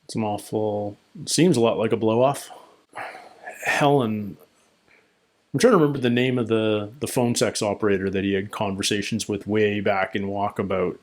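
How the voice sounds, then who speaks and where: A man's voice: fairly low pitch, steady tone, normal speed. A man hosting a reaction video, casually thinking aloud with short asides and questions as he comments on a TV episode. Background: TV show soundtrack.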